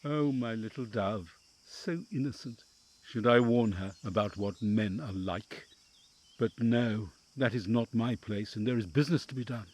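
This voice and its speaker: An actor in an audio drama playing a devoted, wary counsellor to a noble family, with formal, theatrical phrasing and inner musings. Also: male voice, lowish voice, measured pace, a little mellow, husky. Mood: yearning, intimate.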